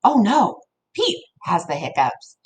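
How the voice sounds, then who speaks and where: A woman reading a children's story aloud like a teacher, addressing young listeners in an expressive way. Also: medium pitch, slow.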